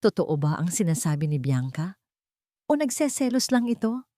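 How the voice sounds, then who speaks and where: Lower-pitched woman narrating with lively swings. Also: moderate pace, dry recording, clean studio sound. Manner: dramatic, expressive reading. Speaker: storyteller. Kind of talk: scripted dramatic story narration.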